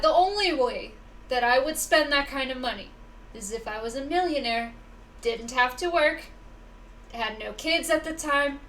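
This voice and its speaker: A woman's voice: a little high, fairly bright tone. A young woman chatting casually as she plays a game on a livestream. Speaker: game streamer. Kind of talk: casual livestream chat while gaming. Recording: distant-sounding mic, faint background noise.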